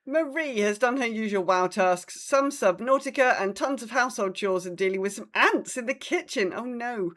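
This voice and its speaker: A woman's voice: a little high, normal speed, hardly any pauses, fairly bright tone. A woman co-hosting a casual podcast, reading out community updates in a sympathetic manner. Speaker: podcast co-host.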